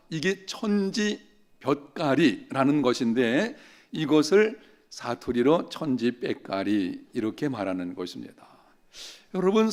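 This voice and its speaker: A middle-aged man preaching a sermon as a pastor, telling stories and slowing down to stress key phrases. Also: fairly high pitch, darkish tone. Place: church sanctuary.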